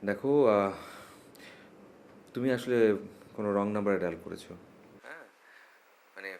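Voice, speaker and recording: An actor playing a mature, exacting man in a Bangla television drama, speaking in a measured, halting, pedantic way. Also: fairly low pitch, vocal fry, slight background noise. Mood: annoyed, dry. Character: mature, exacting, reluctantly charmed man woken at night.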